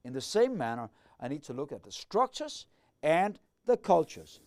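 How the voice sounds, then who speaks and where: A man's voice: on the high side, big pitch swings, normal speed, a little mellow. A middle-aged man lecturing on leadership, methodically working through the ideas and asking himself questions as he goes. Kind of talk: explanatory lecture.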